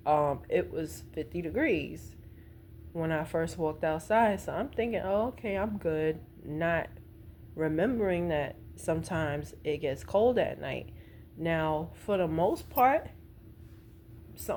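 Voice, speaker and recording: A woman's voice: deep voice, darkish tone. A middle-aged woman, chatting casually in an informal, meandering, conversational way as a vlogger. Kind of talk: casual rambling chat. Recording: noticeable background noise.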